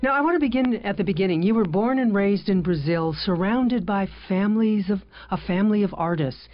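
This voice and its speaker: A woman's voice: mid-range voice, brisk, dry recording. A woman hosting an interview show, asking friendly questions and often repeating words as she starts them. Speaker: radio show host. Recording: dull lo-fi sound.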